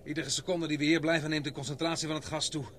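An actor playing a resolute young man on an expedition, talking calmly and steadily. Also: a little high, quick pace, natural voice. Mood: steady. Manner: calm, steady, reassuring. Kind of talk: radio play acting. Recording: radio play with steady background noise.

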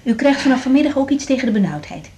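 An actress playing a young hospital doctor in a radio drama, direct, practical and caring though harried. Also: female voice, high voice, sing-song, rapid-fire, warm tone, slight background noise. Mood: soothing, reassuring. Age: young adult. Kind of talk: broadcast radio drama acting.

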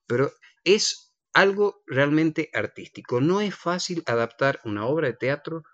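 A man's voice: a little high, lively intonation, measured pace, dry recording, highs cut off. A man hosting a casual radio chat in a playful, teasing manner. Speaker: radio host.

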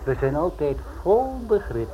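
An actor playing a smug Italian gangster sidekick in a Dutch radio play, delivering short, sardonic, needling remarks. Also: male voice, on the high side, sing-song, measured pace. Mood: sardonic, pressing. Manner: sardonic, clipped, echoing his partner. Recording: narrow, faintly noisy old radio recording.

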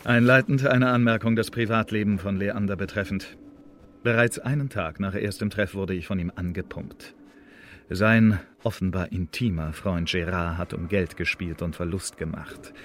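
An exacting secret agent, reporting in a fairly low voice. The delivery is clipped and officious in radio play style, with faint background noise. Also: male voice, fairly even intonation, normal speed, a bit bright. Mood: aggrieved, officious.

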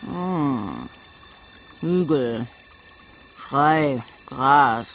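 A man voicing a slow, simple-minded cow in a German radio drama, uttering blank, halting single words. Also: medium pitch, expressive, a bit bright, slight background noise, full character voice. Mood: blank, laboured.

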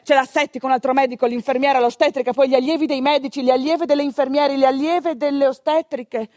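A very high-pitched woman, sardonic and exasperated, tells of giving birth in hospital as a theatrical radio monologue. Her delivery is wry and animated, recorded close and dry. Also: no room sound. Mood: wry, indignant. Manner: animated, wry, foul-mouthed, breaking into shouts.

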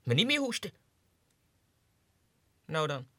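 An actor playing a deluded, self-important low-ranking Russian clerk going mad, in a theatrical radio drama monologue that swings between muttering and agitated outbursts. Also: male voice, big pitch swings, slow pace, pushed and bright, no room sound, heavily acted. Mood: scornful, reasoning. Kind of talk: 1960s radio drama monologue, diary entries. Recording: dry 1960s radio studio recording.

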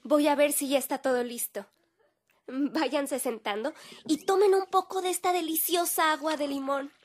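Woman playing a high-voiced, affectionate Mexican sister. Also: normal speed, lightly acted. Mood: delighted, welcoming. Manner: tender, gracious. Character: affectionate, welcoming adult sister and hostess. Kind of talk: radio drama acting.

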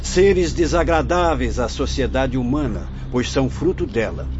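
A man's voice: mid-range voice, music in the background, natural voice. Man acting as a solemn, learned spirit physician, muffled recording. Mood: grave, solemn. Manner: formal, measured, didactic. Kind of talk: radio drama acting. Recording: dull lo-fi audio with background noise.

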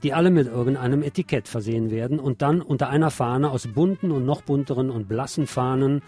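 Music with guitar plays behind a man presenting the news. His voice sits at a medium pitch. He reads from a script as a host would and slips in sardonic, opinionated remarks of his own. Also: brisk, warm tone, breathy edge. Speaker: radio show host reading local news. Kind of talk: scripted news with personal commentary.

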